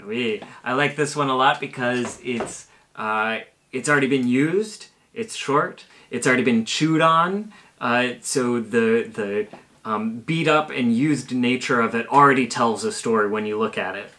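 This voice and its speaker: A man's voice: medium pitch, a little slow, bright, forceful tone. A man in his thirties casually explaining puppet-making as a presenter, recorded in a home kitchen.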